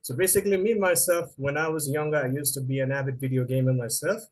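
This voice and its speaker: A man's voice: medium pitch, fairly even intonation, fast. A young man with a Nepali accent, answering interview questions as a researcher, a little hesitant with fillers and restarts.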